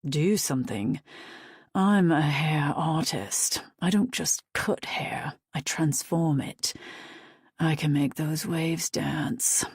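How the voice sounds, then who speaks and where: A woman's voice: sits low, slow pace, darkish tone, breathy edge, no echo, clean studio sound, heavily acted. A woman voicing a cheeky, flamboyant male hair stylist with a British accent and a teasing, theatrical manner.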